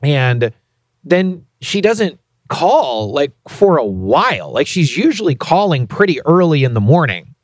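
A man's voice: on the high side, sing-song, unhurried, dry recording, studio quality. A man in his thirties co-hosting a casual podcast, telling a story in a playfully indignant way. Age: 30s. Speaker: podcast co-host.

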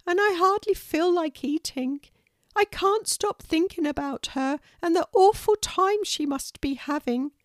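A woman's voice: dry recording, clean studio sound. A woman doing Moon, a heartbroken young pelican. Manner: slow, tearful, very high and soft. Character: sad young female pelican, heartbroken and lost.

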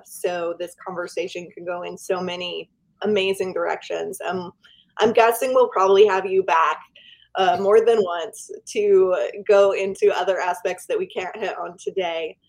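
A woman hosting a podcast interview, speaking in an enthusiastic, deliberate way as she welcomes her guest. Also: fairly low pitch, low-quality recording. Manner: warm, enthusiastic, slow deliberate intro. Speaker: podcast host.

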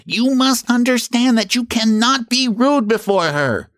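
A man's voice: mid-range voice, sing-song, moderate pace, dry recording, studio quality, pitched above the performer's own voice. A man voicing a homely, devoted and brave male moth in a children's storybook, eager and plain-spoken. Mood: defiant.

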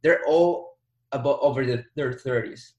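A man's voice: medium pitch, a little slow, darkish tone, muffled recording. A young man. He talks in a motivational, hands-on way, stacking repeated words for emphasis. The room gives his voice a noticeable echo. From Dominican Republic. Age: 20s. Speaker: entrepreneur guest. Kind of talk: casual podcast interview. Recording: roomy echo.

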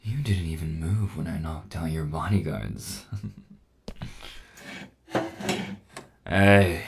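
A man playing a smug, dominant cyborg villain in an ASMR audio roleplay, taunting and condescending with slow menace. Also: darkish tone, heavily acted. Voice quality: low, gravelly.

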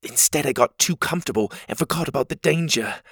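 A man's voice: medium pitch, brisk, creaky, a bit bright, husky, no echo, clean studio sound, pitched above the performer's own voice. A man voicing a brave, cocky young boy hero who talks proudly and boldly. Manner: proud, bold and boastful.

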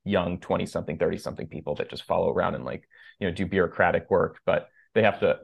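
A man's voice: low-pitched, steady tone, fast talker, vocal fry, slightly rough. A man in his thirties, raised between two countries and a native Persian speaker, chatting as a podcast guest in a loose, rambling way full of fillers and restarts. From United States. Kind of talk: casual interview conversation.

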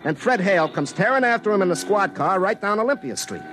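A man's voice: sits high, expressive, quick pace, very noisy. An actor playing an earnest, hapless young telephone lineman, telling his own story in a folksy, self-dramatizing way in a comic radio drama. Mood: excited storytelling. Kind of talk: first-person comic radio drama narration and dialogue. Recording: classic radio show with music underneath.

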